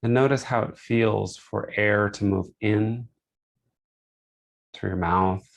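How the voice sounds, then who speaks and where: A man leading a guided meditation, speaking gently in short, unhurried phrases with pauses between them. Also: on the low side, steady tone, vocal fry, slight background noise. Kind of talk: guided meditation, instructional and soothing.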